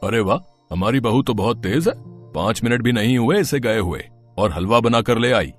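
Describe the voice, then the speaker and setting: A man's voice: medium pitch, normal speed, soft background music, natural voice. Man playing a mild middle-aged father-in-law. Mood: impressed.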